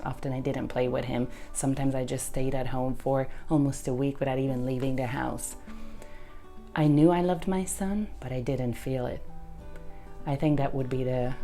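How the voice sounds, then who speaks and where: A woman with a Hungarian accent speaks in a very low, mellow voice. Her pace is earnest and measured, and she often circles back to repeat a phrase. Soft music plays faintly behind her. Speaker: therapist sharing her story. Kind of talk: personal testimony.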